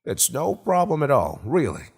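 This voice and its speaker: A man's voice: mid-range voice, wildly swooping pitch, normal speed, creaky, darkish tone, breathy edge, pitched above the performer's own voice, full character voice. A man voicing a cheery middle-aged suburban host in an audiobook, folksy and grating, with a Florida Yankee accent and breezy 1950s swagger. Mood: insistent, hospitable. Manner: folksy, eager, talks in one breezy rush.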